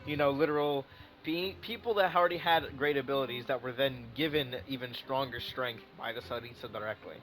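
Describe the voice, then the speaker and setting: A man's voice: medium pitch, fairly quick, fairly bright tone, soft background music, poor audio. Casual, rambling male game streamer. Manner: rambling, thinking aloud. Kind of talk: casual live gameplay commentary.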